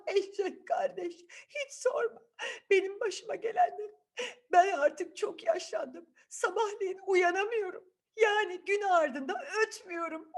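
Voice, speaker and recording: A woman voicing a very old, weary rooster in a children's fairy tale, lamenting with drawn-out wails. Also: very high-pitched, voice pushed up. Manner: lamenting, wailing drawn-out delivery. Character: very old rooster, woeful and weary, eager for company.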